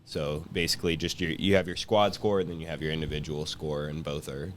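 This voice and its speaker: A man's voice: extremely low pitch, little pitch movement, a little fast. A teenage young man, a guest, chatting casually in conversation. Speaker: guest, high school senior. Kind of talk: casual chat. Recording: faint background room noise.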